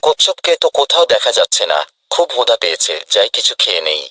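A man's voice: lowish voice, big pitch swings, fast, loud music behind the voice. A man voicing a vain, boastful rabbit who talks to himself in a children's cartoon. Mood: smug, tired.

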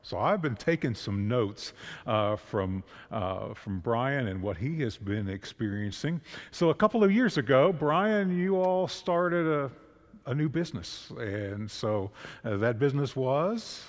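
A man's voice: mid-range voice, animated, a little slow. An older man, a pastor, interviewing a guest during a church service in a conversational way. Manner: conversational, standing and gesturing. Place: church sanctuary. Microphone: stand mic. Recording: long reverb of a church hall.

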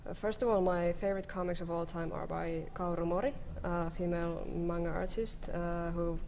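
A woman's voice: on the low side, fairly even intonation, moderate pace, a little mellow. A young woman talking casually as an artist on a panel, into a table microphone on a stage. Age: young adult. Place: convention panel stage before an audience. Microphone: table microphone on stand. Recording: noisy live stage microphone.

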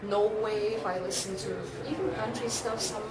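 A woman in her thirties from Belgium with a Belgian accent, chatting in a relaxed, hesitant way as a punk musician being interviewed in a club. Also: mid-range voice, normal speed, heavy background noise. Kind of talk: casual interview. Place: indoor club hallway, echoey. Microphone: camera mic from a distance. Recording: echoey room, distant mic, crowd behind.

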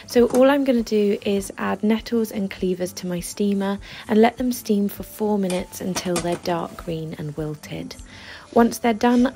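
A woman's voice: medium pitch, creaky. Upbeat young woman foraging host. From England. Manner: bright, enthusiastic, gently playful. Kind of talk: friendly foraging how-to narration. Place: woodland outdoors, then wooden cabin kitchen.

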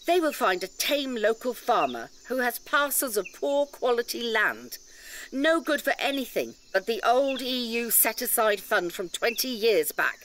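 A woman's voice: a little high, very animated, measured pace, a bit bright, slight background noise. A woman playing an indignant nature-reserve campaigner in an audio drama, lecturing emphatically with pedantic detail and rhetorical questions. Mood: scornful.

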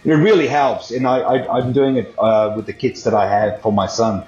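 A man from South Africa, a drummer, chatting as a guest on a video call interview in a relaxed, rambling way. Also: mid-range voice, moderate pace. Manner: relaxed, rambling, many fillers. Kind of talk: casual interview chat. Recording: roomy, dull video-call audio.

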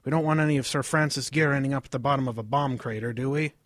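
A man's voice: mid-range voice, fast, fairly bright tone, no room sound, studio quality, natural voice. A man playing an earnest English father, proud of history, lecturing in a radio drama. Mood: placating. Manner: lecturing, storytelling.

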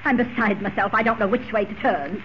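An actress playing a nervous, indignant, prim orphanage matron, speaking formally and overwrought in old radio drama style. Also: female voice, medium pitch, a little fast, lightly acted. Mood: agitated, reproachful. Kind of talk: 1940s radio drama acting. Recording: muffled, hissy old radio recording.

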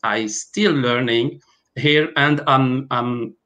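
Halting man. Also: medium pitch, steady tone, bright, forceful tone. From Algeria. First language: Arabic and French. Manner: halting, many fillers and restarts. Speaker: guest pharmacovigilance specialist. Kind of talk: online interview. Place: home room, video call.

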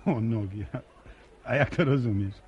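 An actor playing a bashful young village farmer in a radio drama, stammering and hesitant in colloquial rural speech. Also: male voice, mid-range voice, normal speed, darkish tone, highs cut off, lightly acted. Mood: bashful, coaxing. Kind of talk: naturalistic Polish radio drama acting. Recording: radio drama with faint background noise.

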